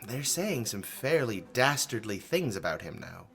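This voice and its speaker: A formal investigator in a radio play. His bright voice moves with lively intonation as he probes in a businesslike way. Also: mid-range voice, measured pace, creaky, exaggerated voice. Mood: probing. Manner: formal, probing. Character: businesslike male investigator taking a witness statement. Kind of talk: theatrical radio drama acting.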